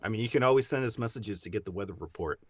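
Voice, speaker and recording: A man's voice: fairly low pitch, very fast, husky. A man co-hosting a podcast in casual, playful banter, repeating words for effect. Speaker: podcast co-host.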